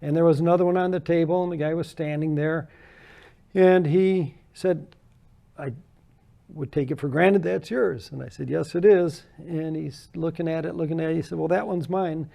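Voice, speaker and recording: A man's voice: a little high, steady tone, normal speed, vocal fry, dark tone. An older American man, a guest in an interview, chatting casually and telling anecdotes about his hobby with frequent laughs. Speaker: guest model builder.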